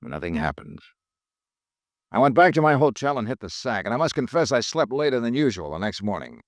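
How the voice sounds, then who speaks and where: An actor playing a persistent insurance investigator who narrates a 1950s American radio drama in a wry, dry way. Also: male voice, mid-range voice, sing-song, fairly quick, no echo, natural voice. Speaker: lead and narrator.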